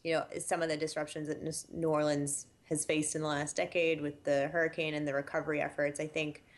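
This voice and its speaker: A woman who directs housing statistics, giving measured, explanatory interview answers with frequent fillers. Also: low voice, little pitch movement.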